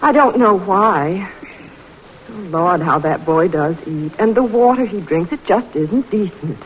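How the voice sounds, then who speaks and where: A woman's voice: fairly low pitch, animated, measured pace, rough recording. A woman playing a worried, plain-spoken mother of a sick child in a 1940s radio drama. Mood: fretful. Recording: old radio recording with faint noise.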